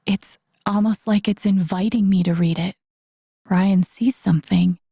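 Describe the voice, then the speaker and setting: Young game heroine gal, earnest n a bit wry, gravelly fry, close dry sound, kinda thinking out loud. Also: female voice, medium pitch, fairly even intonation, measured pace, no room sound. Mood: curious, focused. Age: young adult. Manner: introspective, understated. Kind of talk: intimate inner-monologue game voice acting.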